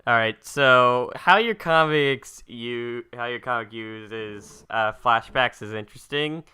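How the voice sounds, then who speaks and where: Man, very bright sharp voice, extremely clear, halting delivery, casual podcast co-host. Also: medium pitch. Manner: halting, repeats phrase starts. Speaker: podcast co-host asking questions.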